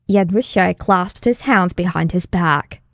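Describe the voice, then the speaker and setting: A woman's voice: on the low side, a little slow, gravelly, fairly bright tone, dry recording. A woman reading scripted fiction as an audiobook narrator, close to the microphone.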